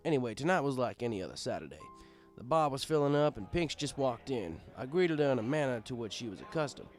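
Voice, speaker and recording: A man's voice: medium pitch, big pitch swings, fast, soft background music. A man reading a first-person story aloud as narrator, in a conversational, wry storytelling style.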